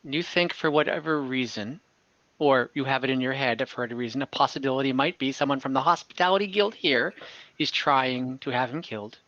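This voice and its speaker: A man voicing a prim, guarded town clerk who questions the players slowly and carefully. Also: mid-range voice, fairly bright tone, dry recording, lo-fi sound, natural voice. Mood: puzzled.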